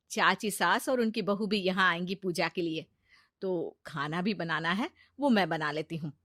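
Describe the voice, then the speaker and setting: An actress playing a kind, protective middle-aged mother-in-law in a family story, gentle and reasoning. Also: on the low side, no room sound, clean studio sound.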